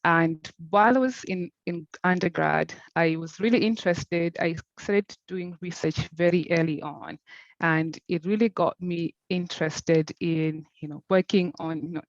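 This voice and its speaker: A woman from Zimbabwe, giving a keynote presentation in a measured, explanatory way. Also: fairly low pitch, dry recording, dull audio. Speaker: keynote speaker.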